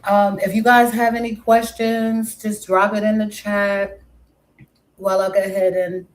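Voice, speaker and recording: A woman's voice: mid-range voice, little pitch movement, measured pace, rough recording. A woman from Brooklyn with a mixed New York and West Indian accent, casually chatting with viewers on her live stream and calling them pet names.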